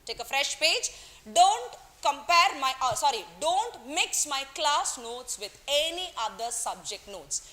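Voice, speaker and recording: Woman, bright tense voice, playful teacher, classroom lecture. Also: high voice, big pitch swings, unhurried. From Kerala, India. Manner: playful, teasing, coaxing students, mixes in Hindi words. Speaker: economics teacher.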